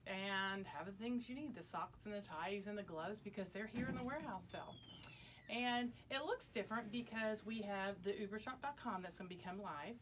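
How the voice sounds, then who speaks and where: A middle-aged woman, a shop owner making a casual announcement to customers, recorded indoors a few steps from the camera. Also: mid-range voice. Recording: background noise, camera from a distance.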